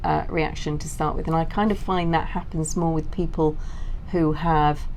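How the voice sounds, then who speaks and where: Low-voiced woman explaining in an interview. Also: fairly even intonation, moderate pace, a little mellow, slight background noise, low-quality recording. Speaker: interview guest, author. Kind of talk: explanatory interview talk on a technical subject.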